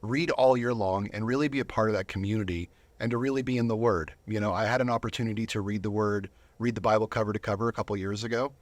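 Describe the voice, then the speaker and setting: A man's voice: lowish voice, fairly even intonation, fast, few pauses, dry recording. A man speaking as a guest in a casual studio interview, earnest and reflective, sometimes repeating words as he goes. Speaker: interview guest, volunteer Bible reader.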